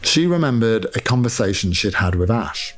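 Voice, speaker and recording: Guy reading a novel out loud, kinda low voice, careful phrasing, music under it. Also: big pitch swings, normal speed. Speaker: author reading own novel excerpt. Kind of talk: scripted literary narration over sound design. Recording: music behind the voice. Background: atmospheric music.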